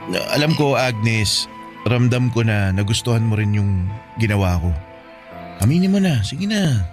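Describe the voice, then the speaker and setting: A man's voice: on the low side, animated, unhurried, faint music behind. An actor playing a persistent lovestruck man, insistent and stammering with emotion in a Filipino radio romance drama. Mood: coaxing, confessing. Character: persistent, pleading lovestruck man courting his sister-in-law.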